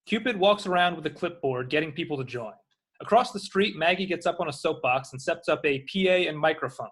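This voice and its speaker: A man's voice: on the high side, lively intonation, a little fast, fairly bright tone, breathy edge. A man reading screen directions as scripted narration.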